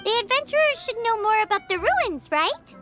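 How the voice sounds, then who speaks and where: Tiny fairy girl, extremely high, squeaky, excitable, boastful, game dialogue over prominent music. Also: moderate pace. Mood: eager. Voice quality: squeaky, childlike. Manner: bubbly, boastful, exaggerating. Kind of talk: video game voice acting.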